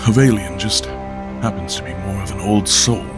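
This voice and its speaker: An actor playing a serious, guarded male war hero who speaks tersely and measured. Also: deep voice, music in the background, natural voice. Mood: grave. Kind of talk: audio drama acting.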